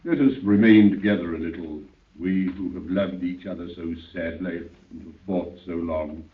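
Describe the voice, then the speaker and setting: Godlike elder power, very deep, echoing, oracular, stately, tinny old radio recording. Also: male voice, normal speed. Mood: solemn, grand. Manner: grave, stately, oracular delivery. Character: Sunday, vast godlike man, solemn and serene. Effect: cavernous echo on the voice. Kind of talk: 1930s radio drama acting.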